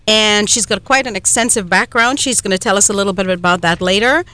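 A woman's voice: medium pitch, brisk, pushed and bright. Chatty Trinidad-born woman radio host. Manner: chatty, emphatic, with frequent fillers. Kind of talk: talk radio chat. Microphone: studio microphone.

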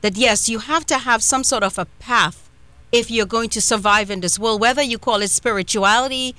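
A Trinidadian woman hosting a live radio talk show, chatty with quick asides. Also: on the high side, bright, forceful tone, no room sound. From Trinidad and Tobago.

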